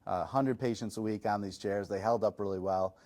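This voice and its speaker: Relaxed, chatty man with a lowish voice. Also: a little fast. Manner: relaxed, self-deprecating, chatty with fillers. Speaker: chiropractor and massage chair reviewer. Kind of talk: casual podcast interview answers.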